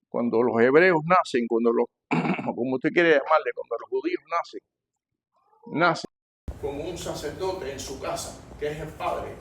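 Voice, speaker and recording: An older man with a Cuban Spanish accent preaching to a congregation, emphatic and repeating phrases, swinging between loud and hushed delivery. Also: mid-range voice, a little hiss or hum, poor audio. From Cuba. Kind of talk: sermon, teaching a church congregation.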